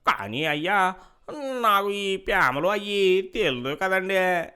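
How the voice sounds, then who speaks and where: A man's voice: high voice, lively intonation, takes it slowly, bright, forceful tone, smooth, full character voice. A man playing a naive, timid young farmhand in a moral story, speaking deferentially in rustic dialect. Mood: innocent.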